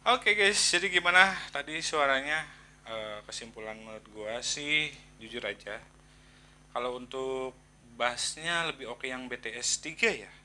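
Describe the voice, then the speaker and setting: Bright-voiced casual man, noisy recording. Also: mid-range voice, lively intonation. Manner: informal, halting, slangy, thinking aloud. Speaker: product reviewer, vlogger. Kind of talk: casual unboxing review chat to viewers.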